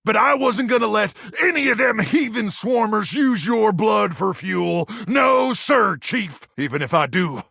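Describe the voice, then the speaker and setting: An actor playing an earnest, unhinged muscle-bound post-apocalyptic warrior in a video game, gravelly and raspy, full of over-the-top military bravado. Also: male voice, sits high, animated, unhurried, few pauses, sharp tone, no echo, clean studio sound, heavily acted. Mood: grave, devoted. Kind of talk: video game character acting.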